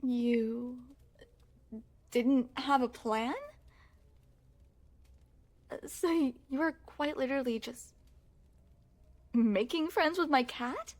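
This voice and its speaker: A woman's voice: high voice, slow, long pauses. A woman playing a refined young crown princess in an ASMR roleplay, speaking formally and with coy poise straight to the listener. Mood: curious, coy. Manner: formal, poised, genteel storytelling with coy amusement.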